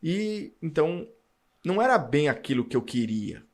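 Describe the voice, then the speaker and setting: A man, a podcast guest telling his career story in an animated way. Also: fairly high pitch, moderate pace. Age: 40s. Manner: animated storytelling, acts out past dialogue. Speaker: podcast guest, car dealer. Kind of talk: casual storytelling interview.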